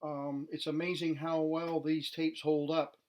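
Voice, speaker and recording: A man with a voice a little higher than average gives a casual, unscripted demonstration. He explains things plainly and somewhat hesitantly, as a hobbyist presenter showing equipment would. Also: flat delivery, measured pace, heavy background noise. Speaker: vintage tech demonstrator.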